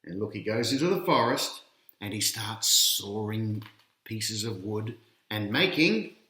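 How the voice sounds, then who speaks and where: Animated, unhurried male picture-book storyteller. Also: on the low side. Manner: addresses young listeners with questions and teasing reveals. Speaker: author reading his own picture book. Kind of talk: children's storytelling read-aloud. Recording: roomy, echoing sound.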